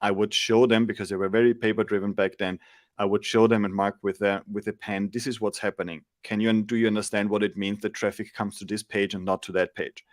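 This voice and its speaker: A man's voice: fairly low pitch, level tone, a little fast, no echo, clean studio sound. A man in his forties from Austria, explaining things thoughtfully as a guest on a video call, with frequent restarts. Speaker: guest, SEO agency founder. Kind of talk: casual interview chat. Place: home room, indoors. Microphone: large studio mic on arm.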